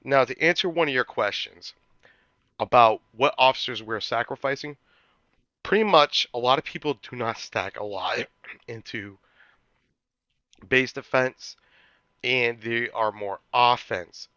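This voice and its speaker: A man hosting a gaming channel, talking through a strategy walkthrough while getting over a cold, now and then clearing his throat and restarting his sentences. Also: medium pitch, a little slow, pauses often, sharp tone, no room sound, highs cut off. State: recovering from a cold.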